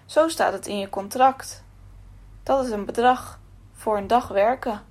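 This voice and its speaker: Woman as a calm male boss, patient, reasoning, light voice, storybook reading. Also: on the high side, measured pace.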